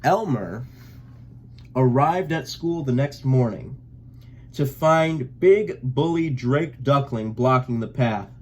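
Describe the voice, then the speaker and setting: Expressive, unhurried male storyteller. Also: medium pitch. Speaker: read-aloud storyteller. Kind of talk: expressive picture-book narration.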